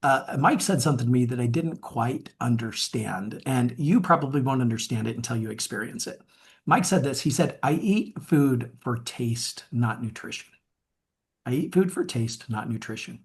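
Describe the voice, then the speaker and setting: A man's voice: medium pitch, expressive, normal speed. A man coaching in a webinar, upbeat, asking viewers to rate themselves. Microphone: webinar mic.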